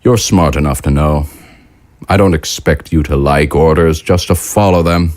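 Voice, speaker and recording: Man playing a very deep, cold, calculating leader. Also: no room sound, studio quality. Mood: calm, threatening. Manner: measured, formal, quietly menacing. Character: cold, calculating male leader of a wartime nation. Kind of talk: audio drama acting.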